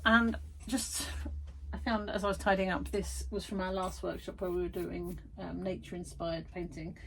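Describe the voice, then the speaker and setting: A woman's voice: fairly low pitch, level tone, normal speed, creaky, slight background noise, low-quality recording. A woman vlogging from her garden shed studio, chatty and self-deprecating, tired after busy weeks. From United Kingdom. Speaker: vlogger and art workshop tutor. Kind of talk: casual chatty vlog.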